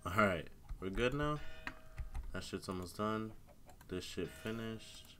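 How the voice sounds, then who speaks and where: A man's voice: fairly low pitch, animated, slow, bright, forceful tone, soft background music. A young man streaming a game on a headset mic, muttering and swearing as he plays, congested from a cold and a sore throat. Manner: laid-back, mutters to himself, swears often. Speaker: gaming streamer. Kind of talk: casual live gameplay commentary. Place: home room at a computer.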